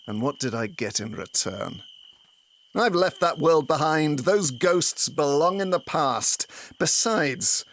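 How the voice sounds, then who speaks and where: An actor playing a bitter, boastful retired detective inspector in a comic audio drama, with pompous, world-weary speechifying. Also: male voice, on the high side, expressive, slow, a bit bright, full character voice. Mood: bitter, proud.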